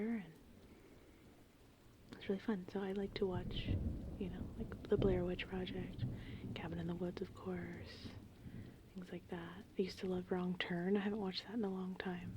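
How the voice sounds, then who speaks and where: A woman whispering in a casual, meandering, unhurried ASMR ramble, with a breathy, creaky voice. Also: medium pitch, background noise. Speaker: ASMR host. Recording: steady rain and thunderstorm ambience. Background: gentle rain and thunder.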